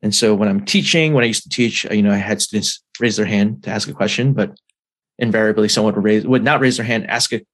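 A man hosting a podcast who talks in a lively, animated way. He often stops and restarts his sentences and scatters fillers through his talk, in a relaxed and conversational tone. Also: lowish voice, fast talker. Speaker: podcast host. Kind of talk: casual conversation.

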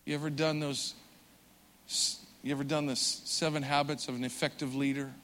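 A man preaching a sermon with earnest conviction, swelling loud for emphasis and dropping soft and slow. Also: on the high side, flat delivery. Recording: long hall reverb.

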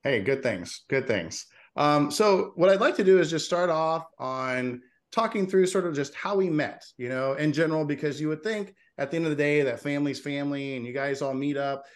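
A man's voice: on the high side, moderate pace, hardly any pauses. A man in his forties hosting a casual interview podcast, friendly and chatty, laughing easily. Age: 40s. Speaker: podcast host.

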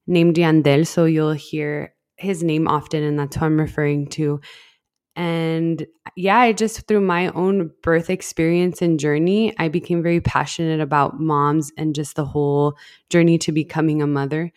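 A woman's voice: sits low, steady tone, unhurried, darkish tone. A young woman from El Paso, co-hosting a podcast in earnest, friendly casual chat. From El Paso, Texas. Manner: friendly, earnest, repeats words. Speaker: podcast co-host.

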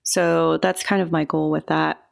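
A woman giving relaxed, thoughtful answers as an interview guest, often hedging her points. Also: deep voice, measured pace, creaky.

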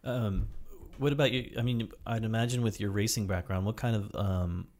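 A man hosting a casual interview, asking loosely phrased questions in an informal, halting way. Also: fairly low pitch, fairly even intonation, darkish tone. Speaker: podcast host, interviewer.

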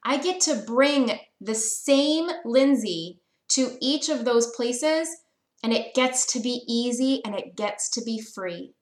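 Earnest woman podcaster, slightly high voice. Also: animated, takes it slowly, reverberant. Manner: earnest, encouraging, repeats phrases for emphasis. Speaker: podcast host. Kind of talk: motivational solo podcast.

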